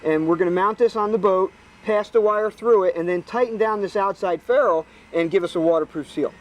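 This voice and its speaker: A man's voice: high voice, brisk, poor audio. A man giving casual, practical technical explanations outdoors as a hands-on expert. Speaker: boat rigger, expert guest. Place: outdoors on a boat.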